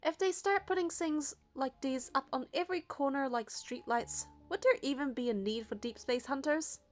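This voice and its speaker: A woman voicing a wry, conversational female deep-space hunter in a story game. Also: normal speed, close to an everyday voice. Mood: wry, resigned.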